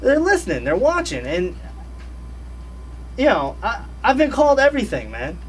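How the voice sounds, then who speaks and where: A man's voice: very high-pitched, huge pitch swings, moderate pace, distant mic. A man in his thirties, a guest on a radio show, talking in a laid-back, slangy way and repeating his phrases. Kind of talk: casual radio interview chat. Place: radio studio.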